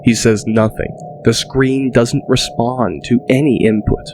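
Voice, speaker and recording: Slow male audiobook narrator over music. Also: medium pitch, steady tone. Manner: deliberate, descriptive. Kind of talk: dramatic scripted audiobook narration.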